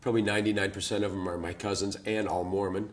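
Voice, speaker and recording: A man's voice: fairly low pitch, level tone, quick pace. A man speaking in a wry, offhand way in a documentary interview.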